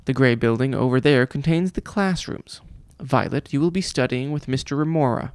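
A man's voice: mid-range voice, normal speed, smooth, no room sound, studio quality, close to an everyday voice. A man voicing a pompous, condescending vice principal who thinks himself a genius, read for a children's storybook. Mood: pompous, scolding. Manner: condescending, mocking. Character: pompous, cruel male school vice principal, self-declared genius.

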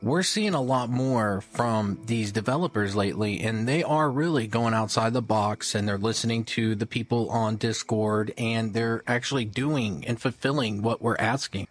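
A man's voice: lowish voice, moderate pace, hardly any pauses, breathy edge. A man hosting a gaming video, giving casual update commentary in a conversational, rambling way.

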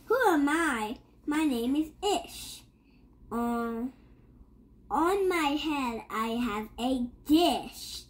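A child reading a rhyming picture book aloud in a halting way, sounding out and repeating words. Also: high-pitched, animated. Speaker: young reader.

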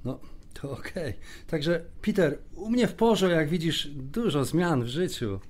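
A man's voice: a little high, animated, measured pace, darkish tone. An actor playing a breezy, boastful emigrant house painter in an audio drama, slangy and peppering his talk with English.

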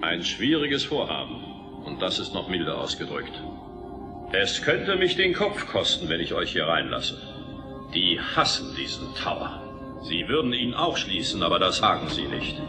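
An actor playing a weary, gruff old guardian in a radio play, theatrical and solemn. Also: male voice, low voice, sing-song, measured pace, heavily acted. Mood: gruff, grumbling. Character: old, weary guardian program of a tower, gruff but wise. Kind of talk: dramatic radio play acting. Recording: echoing voice under music.

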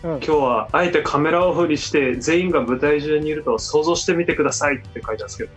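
A man's voice: a little high, fast talker. A young man talking casually over a video call. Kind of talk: casual talk. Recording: video call with music behind.

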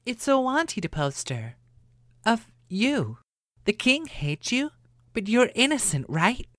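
A woman's voice: on the high side, very animated, slow pace, fairly bright tone, dry recording, full character voice. A woman playing an earnest, sympathetic character in acted dramatic dialogue.

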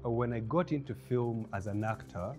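A man in his thirties with a Ugandan English accent, a film producer talking as a guest in a studio interview, lively and acting out the voices he quotes. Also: medium pitch, moderate pace, soft background music. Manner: animated storyteller, acts out quoted voices with laughs. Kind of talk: interview conversation. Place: bright indoor studio set with armchairs.

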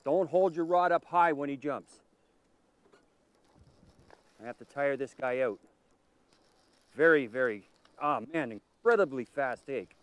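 A middle-aged man hosting a fishing show, commenting with excitement while he fights a fish from a boat on a lake. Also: medium pitch, unhurried, pauses often, smooth. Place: outdoors on a lake, in a pontoon boat.

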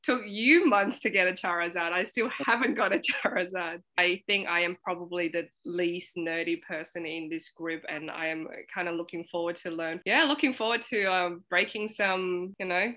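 A woman's voice: on the low side, steady tone, moderate pace, hardly any pauses, sharp tone. A young woman on a casual video call from home, joking and self-mocking, laughing often. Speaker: co-host. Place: home room indoors. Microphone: webcam on video call.

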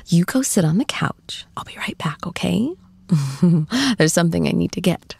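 A woman playing an affectionate, teasing girlfriend in an intimate ASMR roleplay, cooing tenderly and often slipping into soft, breathy whispers. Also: fairly low pitch, lively intonation, heavily acted. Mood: playful, adoring. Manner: cooing, playful, slow and tender. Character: affectionate, teasing young girlfriend comforting her shy boyfriend.

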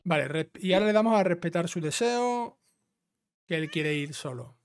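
A man's voice: a little high, animated, normal speed. A man giving a casual step-by-step gameplay walkthrough, instructing and checking in with the listener as he goes. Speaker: video game guide host. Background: game music.

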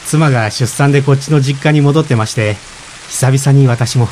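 An actor playing a polite, gentle, self-effacing expectant father in reflective radio drama dialogue. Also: medium pitch, moderate pace, slight background noise, lightly acted. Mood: amiable. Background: rain.

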